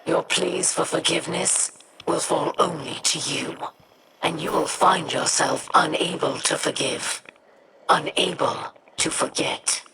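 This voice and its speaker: An actress playing a stern, proud alien warrior in a video game, speaking formally with gravelly, cutting deliberation. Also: female voice, deep voice, measured pace, noisy background. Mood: stern, reproachful. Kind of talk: video game cutscene acting. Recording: loud music underneath.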